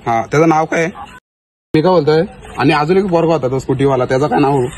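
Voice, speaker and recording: A man's voice: on the high side, quick pace, darkish tone, a little hiss or hum. A young man with a Maharashtrian accent making prank calls in a casual, conversational style. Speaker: prank caller in a vlog. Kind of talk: casual phone chat.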